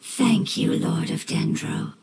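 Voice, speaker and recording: Woman as a breathy, solemn elemental spirit. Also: background noise, natural voice. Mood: grateful. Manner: measured, formal, reverent. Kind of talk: scripted video game voice acting.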